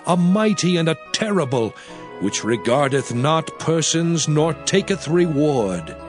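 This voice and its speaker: Solemn scripture orator, commanding prophet, slightly high-pitched, very expressive melody, dramatized audio Bible style. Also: male voice. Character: solemn, commanding male prophet addressing his people. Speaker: Bible narrator. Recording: music bed behind the voice. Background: music.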